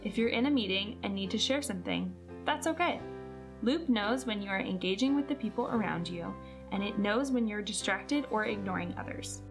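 A woman narrates a promotional product video from a script. Her voice sits at an average pitch, with guitar music playing clearly behind it. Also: expressive, normal speed.

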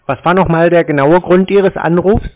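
Male caller, slightly high voice, terse and needling, casual delivery, mildly muffled lo-fi sound. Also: fairly even intonation, moderate pace. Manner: laconic, needling, repeats questions. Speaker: prankster taking scam calls. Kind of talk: casual terse phone replies. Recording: slightly dull, lo-fi call audio.

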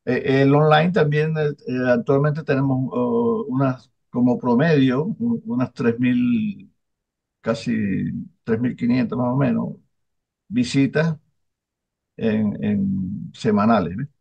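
Older guy interviewing on a video call, raspy gravelly voice, stumbles and restarts a lot, muffled lo-fi sound. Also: medium pitch. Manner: halting, many restarts and fillers. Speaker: interviewer, newspaper publisher. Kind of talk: casual interview chat. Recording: dull lo-fi video call audio.

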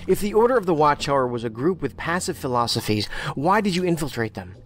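A man's voice: fairly high pitch, very animated, quick pace. A man playing a combative, sardonic federal investigator in a science fiction audio drama, with a dry, needling manner. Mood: probing.

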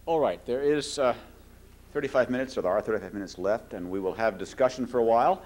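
A man's voice: medium pitch, fast talker, a little mellow, a little hiss or hum. An older man moderating a public event from a podium, making informal announcements to the hall. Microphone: podium microphone.

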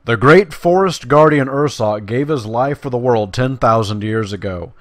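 A man with a medium-pitched voice talks his way through a video game. He is chatty and playful, slangy in his remarks and given to musing to himself, and he also reads quest text aloud. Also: moderate pace, dry recording, studio quality. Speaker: video game player and commentator. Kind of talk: casual gameplay commentary with quest text read aloud.

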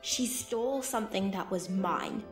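A woman playing a scheming, outwardly apologetic young unicorn pony in a fan-made cartoon drama, halting and given to breathy asides. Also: mid-range voice, animated, music in the background. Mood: scheming, sly.

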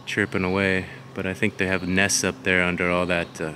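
Loud outdoor noise and wind surround a man in his forties. He speaks in a fairly low voice, casually narrating a walk for his vlog on a handheld camera. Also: completely flat delivery, a little fast. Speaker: vlogger. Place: outdoors along a waterfront. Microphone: handheld camera microphone. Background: loud wind and outdoor ambience.

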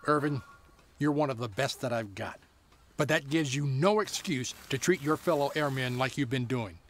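A man's voice: medium pitch, moderate pace, background noise, lightly acted. An actor playing a stern older male military colonel in a radio drama, reprimanding a subordinate. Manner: stern, disappointed.

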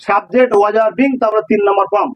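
A man's voice: sits high, fairly even intonation, fairly quick, dry recording, dull audio. A man with a Bengali accent teaching a grammar lesson informally, explaining step by step and repeating phrases for emphasis.

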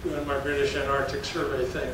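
A man's voice: medium pitch, little pitch movement, a little fast. Older man lecturing in an echoey hall. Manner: folksy, anecdotal, chuckles between stories. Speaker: geology professor giving a public lecture. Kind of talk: storytelling slide talk. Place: darkened lecture hall with audience. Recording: very echoey hall, distant mic, faint noise.

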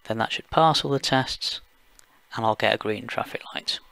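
Gravelly young man explaining software steps. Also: fairly low pitch, moderate pace, dry recording. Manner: plain step-by-step explaining with fillers. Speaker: software engineer giving a tutorial. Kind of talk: screencast walkthrough voice-over.

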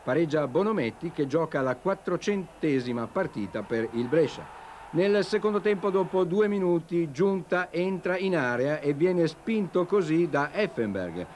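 A man's voice: a little high, lively intonation, moderate pace, darkish tone. A man narrating a scripted football match report as a television sports reporter. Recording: TV broadcast with faint stadium noise.

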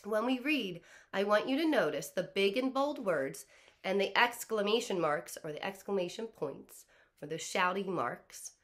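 A woman in her thirties, a kindergarten teacher, explaining things to small children in simple, deliberate phrases. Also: mid-range voice, fairly bright tone. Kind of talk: explaining to young children before a read-aloud. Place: small living room, on a couch.

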